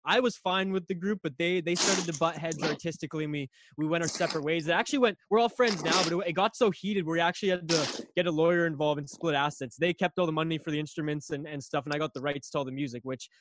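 Chatty young man, raspy, rambling. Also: a little high, quick pace, few pauses, noisy background. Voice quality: slightly raspy. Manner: rambling, lots of fillers and restarts. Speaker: podcast guest. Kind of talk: casual storytelling.

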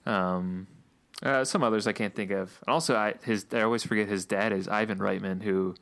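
A man co-hosting a podcast in casual chat, relaxed and chatty, laughing often, saying he is losing his voice. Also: fairly low pitch, fairly quick, vocal fry. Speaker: podcast co-host.

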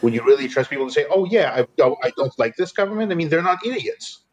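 A man's voice: a little high, lively intonation, fast talker, highs cut off. Blunt male journalist from eastern Ukraine. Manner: blunt, fillers with uh, critical. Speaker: journalist guest. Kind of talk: interview answers.

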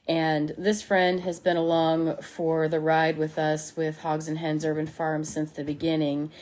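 A woman's voice: low-pitched, a little mellow. Upbeat woman hosting a podcast. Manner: upbeat, friendly welcome. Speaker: podcast host. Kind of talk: scripted show intro and interview questions.

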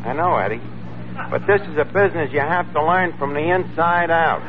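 An actor playing a brash, slangy, word-mangling tavern manager with a New York accent in 1940s radio comedy. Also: male voice, a little high, fairly quick, close to an everyday voice. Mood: self-important. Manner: slangy, mangles words and grammar. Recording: tinny, noisy old radio recording.

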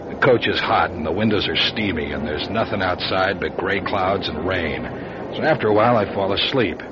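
A man's voice: deep voice, moderate pace, over loud music, natural voice. An American actor, a man, playing a weary, tough, hard-luck 1940s private investigator with wry noir delivery in a radio drama. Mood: world-weary. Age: middle-aged. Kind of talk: radio drama acting with first-person noir narration. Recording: muffled, noisy radio drama recording.

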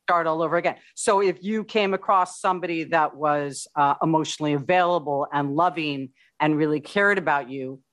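A male interviewer with a fairly high voice for a man. He keeps affirming his guest and reflects back what he hears. He asks gentle, probing questions. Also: a little fast, few pauses. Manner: affirming, frequent short agreements.

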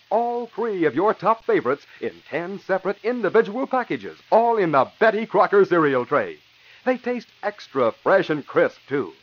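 Animated American man announcing on vintage radio. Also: high voice. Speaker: announcer and narrator. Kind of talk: 1940s radio announcing and scripted narration. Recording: dull vintage radio recording.

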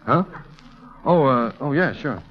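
An actor playing an evasive, easygoing bachelor in 1940s radio style, hesitant and noncommittal. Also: male voice, mid-range voice, animated, slow pace. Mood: uneasy, evasive. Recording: dull vintage radio recording.

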